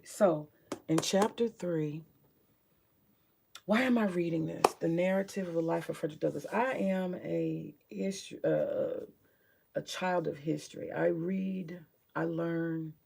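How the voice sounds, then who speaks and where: A woman with a deep morning voice chatting casually about her books, rambling, swearing freely and muttering to herself as she searches her shelves. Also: gravelly, a little mellow, slightly rough. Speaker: vlogger sharing her book collection. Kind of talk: casual home vlog chat.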